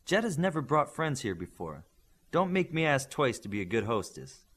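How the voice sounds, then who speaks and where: A man's voice: mid-range voice, very animated, moderate pace, fairly bright tone, slightly rough, voice pushed up. A man voicing a hospitable, polite Japanese mother and scientist in an audiobook, with a welcoming, courteous manner.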